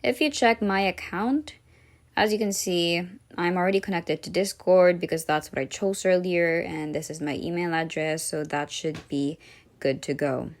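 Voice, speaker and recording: Woman doing a casual step by step tech tutorial voiceover, voice a bit on the low side. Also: normal speed. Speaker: tutorial host.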